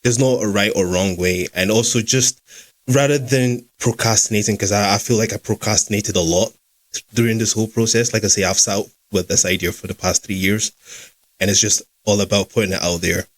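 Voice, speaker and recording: Easygoing Scottish man, lowish voice. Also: steady tone, brisk. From Scotland. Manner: easygoing, with fillers and small laughs. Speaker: podcast host and founder. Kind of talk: casual chat.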